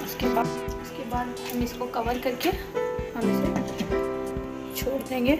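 A woman with a Pakistani Urdu accent narrating a cooking demonstration step by step in a home kitchen, steady and unhurried, often saying "theek hai" and "friends". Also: fairly high pitch. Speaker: cooking channel host. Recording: loud music under the voice. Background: loud background music and frying sounds.

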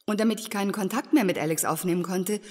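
Husky young wronged heiress played by a woman. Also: mid-range voice, fast talker, darkish tone, lightly acted. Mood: aggrieved, recounting. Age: young adult. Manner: earnest, embittered storytelling. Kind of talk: German audio drama acting.

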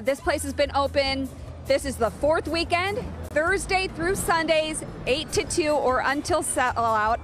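A woman in her thirties reporting live for a morning TV show outdoors at a bakery, talking quickly and chattily into a handheld mic. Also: sits high, fairly bright tone. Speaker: field reporter.

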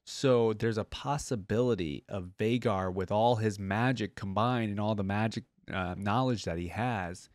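A man's voice: fairly low pitch, moderate pace, hardly any pauses, darkish tone, no echo. A man hosting a reaction video, reading aloud and commenting in a casual, conversational way.

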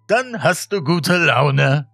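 A man voicing a cheery, eerily beaming homeless stranger in a horror audiobook, with drawn-out, exaggerated cheerfulness. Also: fairly high pitch, lively intonation, gravelly, a bit bright, no room sound, soft background music, pitched above the performer's own voice, exaggerated voice. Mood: eerily cheerful.

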